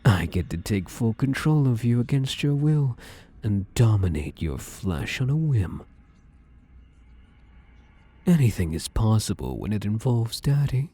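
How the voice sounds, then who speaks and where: Casting: male actor for obsessive living shadow lover, ASMR roleplay; mellow, husky, breathy, domineering yet coaxing. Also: on the low side, very animated, measured pace, heavily acted. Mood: possessive, adoring. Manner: intimate, coaxing, commanding, slipping into breathy whispers.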